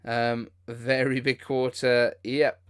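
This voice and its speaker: A man with a mid-pitched voice hosts a live reaction stream. He talks informally and off the cuff, with an incredulous edge to his commentary. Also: little pitch movement, slow, clean tone. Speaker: livestream host.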